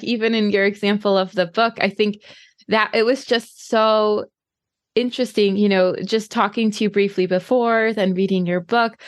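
A woman interviewer asks long, rambling, curious questions, often restarting and filling in with "like." Her pitch is mid-range. The sound is close and dry. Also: no room sound. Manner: warmly inquisitive, rambling questions with many likes. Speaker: interviewer, podcast host.

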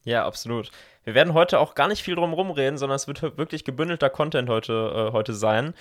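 A man's voice: mid-range voice, fairly quick. A man, hosting a podcast interview in a casual, conversational style.

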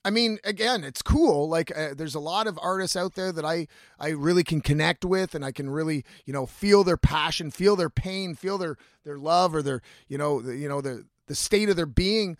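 A man's voice: fairly high pitch, moderate pace, no room sound, studio quality. A man in his thirties hosting a casual, confessional solo podcast, rambling candidly and swearing freely. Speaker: podcast host, singer-songwriter.

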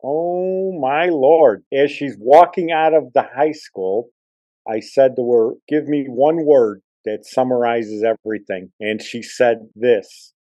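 A man's voice: mid-range voice, takes it slowly, warm tone, low-quality recording. A man in his sixties hosting a podcast, chatting casually and reminiscing in a rambling, nostalgic way. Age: 60s. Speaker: podcast host.

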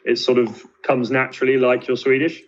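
A man's voice: mid-range voice, little pitch movement, fairly quick, slight background noise. A man asking interview questions casually, in a tentative way. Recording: slightly dull, lo-fi audio.

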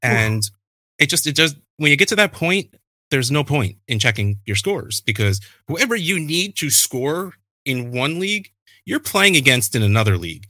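Chatty guy in his 30s, bright punchy voice, tells stories and pokes fun at himself. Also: mid-range voice, moderate pace, no room sound, studio quality. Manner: chatty, self-deprecating, animated storyteller. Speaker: podcast guest, fantasy football analyst. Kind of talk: casual podcast chat.

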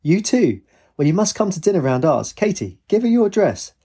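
A man's voice: on the high side, sing-song, quick pace, warm tone, slightly rough, voice pushed up, exaggerated voice. A man voicing a boisterous, big-hearted middle-aged father in a story, teasing and forthright. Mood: jovial, expansive.

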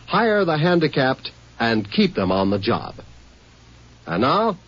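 Husky, animated vintage radio announcer man. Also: mid-range voice, slow. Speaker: public service announcer. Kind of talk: earnest scripted 1950s radio appeal. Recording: dull vintage radio recording.

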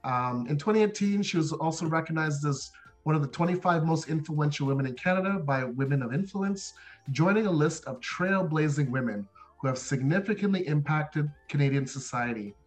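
A man with a slightly high voice hosts an interview show with faint music behind him. He delivers prepared introductions formally and with enthusiasm, pausing to gather his words. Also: expressive, low-quality recording. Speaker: podcast co-host. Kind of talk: scripted guest introduction.

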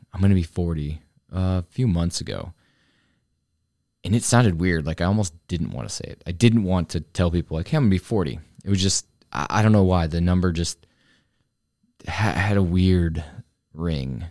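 A man's voice: low voice, a little mellow, no echo, studio quality. A man in his forties talking on his own about turning forty, earnest and rambling, often restarting his sentences. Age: 40s. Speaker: vlogger, fitness coach. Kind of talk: reflective solo talk to camera.

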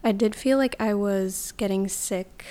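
A woman's voice: mid-range voice, unhurried, dark tone. A young woman, hosting a casual solo podcast in a sleepy morning voice, chatty with lots of filler words. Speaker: podcast host.

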